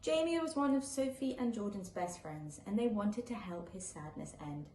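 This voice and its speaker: A woman reading a children's picture book aloud live, with an expressive, sing-song storytelling delivery. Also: mid-range voice, normal speed. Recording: echoey room with faint background noise.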